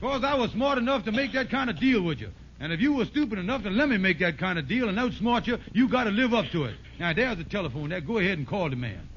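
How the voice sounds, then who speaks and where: An actor playing a bossy, scheming, excitable con man in a 1950s radio sitcom, with folksy ungrammatical blustering speech. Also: high voice, fast talker, hardly any pauses. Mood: smug. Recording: muffled, noisy old radio recording.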